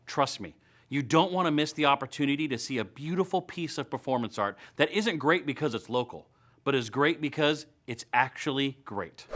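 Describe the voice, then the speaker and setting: Animated, higher-voiced American man hosting. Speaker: web series host. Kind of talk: scripted presenting to camera. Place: indoor studio.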